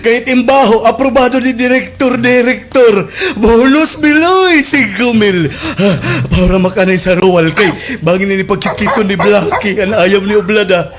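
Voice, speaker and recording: A man playing a frantic, bumbling village watchman in a slapstick radio drama, exclaiming in agitated, halting bursts. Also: high-pitched, natural voice. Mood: frantic pleading.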